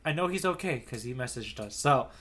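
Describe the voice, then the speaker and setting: A man co-hosting a casual podcast as its guide, bantering in a playful, animated hype-man manner. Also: mid-range voice, a little fast, over a noisy crowd. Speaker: podcast co-host, tour guide. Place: remote online podcast recording.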